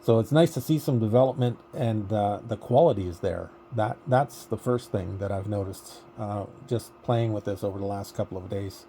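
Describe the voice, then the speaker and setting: A man's voice: on the low side, moderate pace, few pauses, mellow, a little hiss or hum. A man chatting casually as an amateur radio operator, musing and thinking aloud in a hesitant way over a radio contact.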